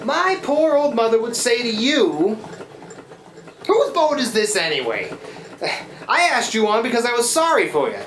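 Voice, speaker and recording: A woman's voice: a little high, very animated, unhurried. A woman playing a scruffy, hard-drinking riverboat man in a radio play, with folksy, rambling, theatrical working-class speech. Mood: indignant, mocking. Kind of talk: live radio play acting. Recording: roomy live stage, watery foley noise.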